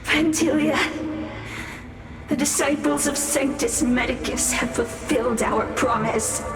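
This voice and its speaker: Bossy fervent anime cult lady, echoey voice, grand n demanding, loud music. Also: high voice, unhurried. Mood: demanding, urgent. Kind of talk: anime game voice acting.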